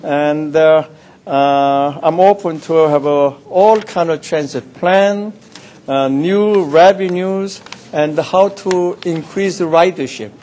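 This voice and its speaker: An older man from South Korea, a city councillor giving a measured, halting nomination speech. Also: a little high, darkish tone, a little hiss or hum. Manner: measured, halting, many "uh" pauses. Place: council chamber.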